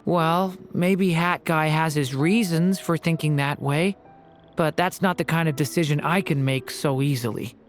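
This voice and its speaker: A woman playing a gentle, hesitant young hero in a fantasy video game, thoughtful in delivery. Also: sits low, a little slow, soft background music.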